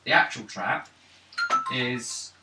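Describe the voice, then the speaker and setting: A man running a tabletop role-playing game as game master, describing scenes haltingly between bits of casual table talk. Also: medium pitch, animated. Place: gaming table in an echoey room. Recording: roomy, distant mic, faint noise and clicks.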